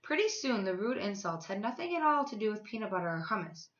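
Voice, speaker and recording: A woman teacher reading a children's story aloud, with expressive, emphatic delivery and drawn-out words. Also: mid-range voice, highs cut off.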